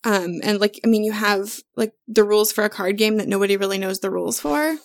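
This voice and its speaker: A woman with an average-pitched voice hosts a casual podcast interview. She sounds enthusiastic and phrases her thoughts a little hesitantly as she goes. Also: a little fast, gravelly. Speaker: podcast host.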